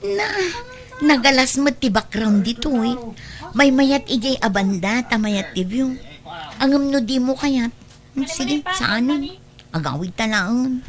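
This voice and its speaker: A woman's voice: a little high, animated, a little slow, crowd noise. A woman playing an impatient, sulky young girlfriend in an audio drama, with a nagging, exasperated manner. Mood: coaxing.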